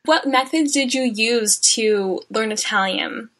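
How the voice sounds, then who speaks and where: A woman's voice: a little high, measured pace, fairly bright tone. A woman hosting a podcast interview, asking open questions and adding brief encouraging remarks. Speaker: podcast host. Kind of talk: casual interview conversation.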